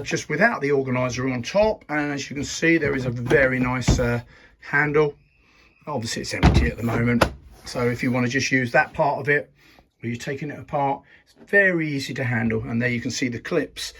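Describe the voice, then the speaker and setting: A man with a croaky voice, hosting a casual tool review video in a chatty, rambling way and laughing at himself. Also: mid-range voice, a bit bright, a little hiss or hum. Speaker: YouTube channel host.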